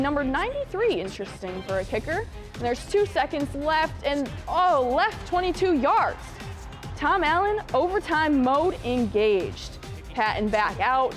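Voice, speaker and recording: Young female sportscaster, high voice, scripted highlight reads, light music underneath. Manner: punchy, excited calls on big plays, wry asides. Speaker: sports anchor.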